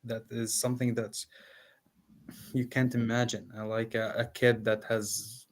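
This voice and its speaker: A man from Palestine, a guest in an interview, talking earnestly with frequent fillers and pauses. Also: mid-range voice, level tone, a little hiss or hum. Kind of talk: casual interview.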